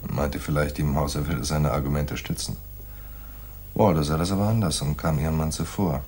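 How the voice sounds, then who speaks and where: A man's voice: extremely low pitch, steady tone, moderate pace, darkish tone, slightly rough. An actor playing a laconic, shrewd private detective in a radio play, dry and slangy, telling his case like noir. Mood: dry, businesslike. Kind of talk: 1980s German radio play, noir dialogue and narration.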